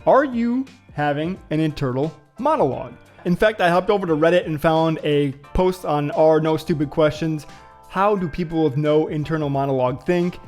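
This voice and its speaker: A man's voice: on the high side, normal speed, a little mellow, faint music behind. A man hosting a casual vlog, musing and thinking aloud to viewers with frequent fillers and hesitations. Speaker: vlogger host. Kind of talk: casual reflective vlog talk.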